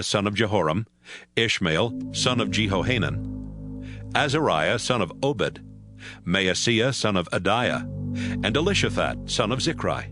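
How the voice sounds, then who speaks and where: A man with a lowish voice narrates a dramatized Bible account. His delivery is scripted and expressive, with lively movement in pitch, and music runs clearly underneath. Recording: music bed behind voice.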